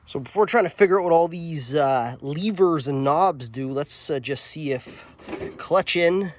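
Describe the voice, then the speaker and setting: A man's voice: fairly high pitch, measured pace, slight background noise. A man with a slightly nasal voice chatting offhandedly as he works in his home workshop, thinking aloud with plenty of uhs. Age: young adult to middle-aged, father of a daughter. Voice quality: slightly nasal from a sinus infection. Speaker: workshop channel host. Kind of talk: casual repair vlog narration. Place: home workshop or yard beside a mower.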